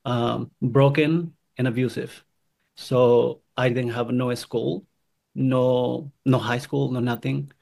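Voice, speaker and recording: Man from Guatemala, gravelly, earnest and halting personal testimony. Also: mid-range voice, fairly even intonation, a little mellow. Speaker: convert sharing his story.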